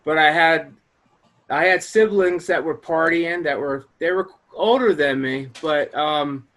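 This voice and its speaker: A man's voice: fairly high pitch, unhurried, fairly bright tone. A man, a recovery coach and guest, talking earnestly and with energy in a casual interview. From Long Island, New York.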